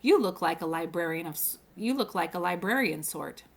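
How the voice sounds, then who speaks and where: A woman's voice: on the low side, expressive, normal speed. A woman voicing a small, genteel male pterodactyl from a storybook, with fussy, old-fashioned politeness. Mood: chirpy, polite.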